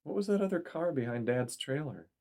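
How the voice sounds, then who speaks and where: A man's voice: on the high side, animated, brisk, a little mellow, voice pushed up. A man voicing a nervous, bewildered young woman who gets easily annoyed, in an audiobook story. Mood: puzzled, annoyed.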